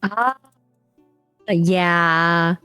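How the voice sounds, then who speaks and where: A woman's voice: fairly low pitch, extremely slow, pushed and bright, smooth, dry recording, soft background music. A woman from Japan whose first language is Japanese, speaking English with a Japanese accent in casual, hesitant chat. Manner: hesitant, searching for words. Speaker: guest.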